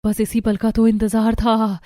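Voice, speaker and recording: A woman's voice: on the high side, level tone, unhurried, mellow, close to an everyday voice. A woman voicing a sneaky young daughter-in-law in a family cartoon, chatty and conspiratorial. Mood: gleeful, conspiratorial. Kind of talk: Hindi family cartoon dialogue.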